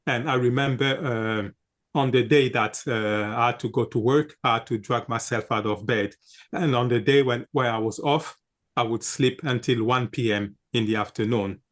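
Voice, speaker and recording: A man being interviewed as a wellness coach, answering earnestly in measured phrases broken up by many hesitations. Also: mid-range voice, steady tone. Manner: earnest, frequent "uh" fillers, measured.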